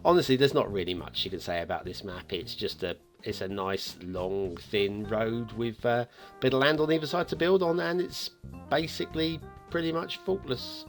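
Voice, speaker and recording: A man's voice: medium pitch, normal speed, soft background music. A man hosting a game map review in a chatty, playfully teasing way, commenting casually and off the cuff.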